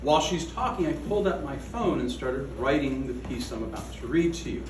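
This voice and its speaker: A man reading his own poem aloud at a gallery event, slow and measured, with falling line endings. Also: mid-range voice, expressive, noisy background. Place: art gallery room. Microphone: away from the microphone. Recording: echoey room from a distance.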